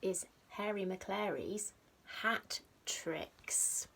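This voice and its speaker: A woman reading a picture book aloud to young children like a teacher, expressively, with dramatic pauses and stressed rhymes and sound words. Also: medium pitch, vocal fry, a bit bright, breathy edge. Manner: simple explanatory asides addressed to young children. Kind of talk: animated storybook read-aloud.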